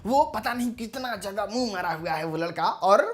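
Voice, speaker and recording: A woman's voice: medium pitch, animated, moderate pace. A woman giving informal gossip commentary to viewers in a dramatic, emphatic, teasing manner. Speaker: commentary channel host.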